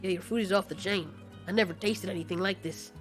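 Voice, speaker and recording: A man's voice: high-pitched, steady tone, fast, soft background music, lightly acted. A man voicing a laid-back male winged monster in an amateur audio story, casual and offhand. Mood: pleased, casual.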